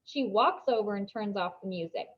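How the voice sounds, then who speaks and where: A woman reading a children's novel aloud as an expressive storybook narrator. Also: medium pitch, moderate pace, highs cut off.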